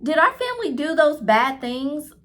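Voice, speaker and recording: A woman's voice: high-pitched, big pitch swings, moderate pace, a bit bright, voice pushed up. A woman reading the part of a curious, earnest young schoolgirl in a storybook. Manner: earnest, questioning.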